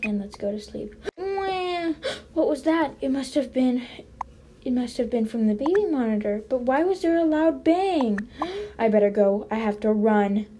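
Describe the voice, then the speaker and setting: A woman improvising a role-play story as a mother character, chatty and play-acting, sometimes hushed. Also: sits high, lively intonation, measured pace, slight background noise. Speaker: role-play video narrator. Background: background music.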